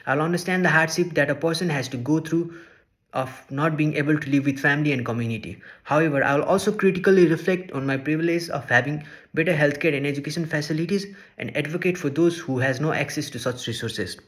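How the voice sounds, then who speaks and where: A man's voice: fairly high pitch, a bit bright. Earnest young man, English speaker. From Nepal. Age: young adult. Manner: measured and earnest, listing points one by one. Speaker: social work student. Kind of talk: reading a prepared class presentation.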